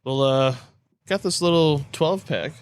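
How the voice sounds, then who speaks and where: A man's voice: fairly high pitch, measured pace, smooth. A man in casual conversation as a podcast co-host. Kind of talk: casual chat.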